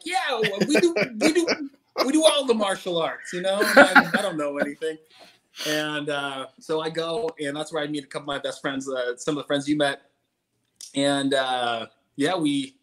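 A young man in a casual interview over a video call from home, telling his story in a relaxed way with many fillers. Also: fairly high pitch. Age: young adult. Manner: laid-back, many "uh"s. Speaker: guest stuntman. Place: room at home.

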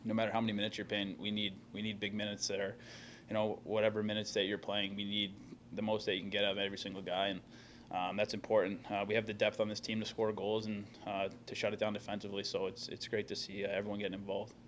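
A man's voice: fairly low pitch, monotone, quick pace, a bit bright, a little hiss or hum. A young man, a hockey player, answering reporters' questions at a postgame press conference into a table microphone, talking plainly with many fillers and restarts. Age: young adult. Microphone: table microphone in front of him.

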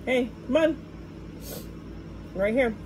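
A woman's voice: on the high side, takes it slowly. Firm middle-aged woman prompting a young reader. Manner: firm, directive. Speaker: parent-like helper coaching a child reading. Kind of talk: casual tutoring, short prompts and corrections. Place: wood-paneled room at home. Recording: faint background noise, casual home recording.

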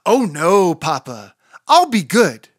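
A woman's voice: fairly low pitch, sing-song, slow, no echo, clean studio sound, exaggerated voice. A woman voicing an eager, impulsive little girl chicken in a storybook, pleading and blurting out warnings.

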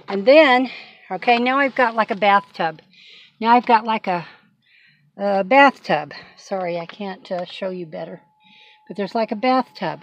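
A woman's voice: a little high, background noise. An older woman vlogging from inside her cargo van, chatting folksy and casual as she demonstrates something, often apologizing and asking for patience. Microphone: handheld phone camera.

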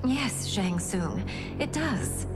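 An actress playing a proud, defiant warrior princess in a video game, formal, stately and resolute. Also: female voice, medium pitch, lively intonation, extremely slow. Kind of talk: video game cutscene acting. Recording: loud cinematic music behind.

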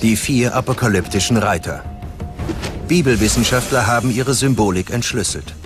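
A man's voice: medium pitch, moderate pace. A man reading scripted documentary narration as the narrator. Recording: music behind the voice.

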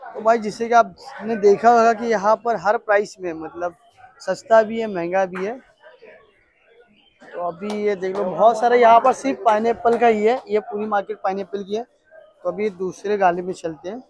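High-voiced Mumbai man vlogging casually. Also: fairly even intonation, moderate pace, dull audio. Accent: Mumbai Hindi. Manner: repetitive, informal, addressing viewers as brother. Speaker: vlogger touring a wholesale fruit market. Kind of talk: casual vlog commentary, asking vendors prices. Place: large covered wholesale fruit market. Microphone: handheld phone.

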